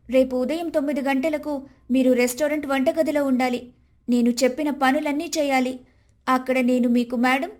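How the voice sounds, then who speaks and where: A woman plays a young daughter-in-law who has become a strict boss. Her voice is high, composed and commanding, in moral-story style with music underneath. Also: darkish tone, close to an everyday voice. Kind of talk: dramatised Telugu moral story.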